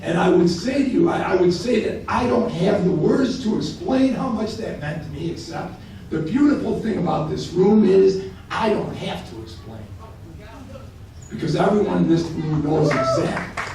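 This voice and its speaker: A man, giving a keynote speech at a banquet and telling stories with halting, repeated words. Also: high-pitched. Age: late 50s. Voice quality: gravelly. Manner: storytelling, halting with repeated words, growing excited. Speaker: keynote speaker. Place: large hotel banquet hall. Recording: echoey hall from far off.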